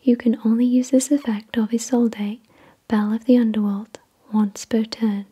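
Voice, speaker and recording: A woman whispering in a breathy, unvoiced voice, reading in a gentle, measured ASMR style. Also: on the high side, flat delivery. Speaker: ASMR host. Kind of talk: whispered ASMR card unboxing, scripted reading.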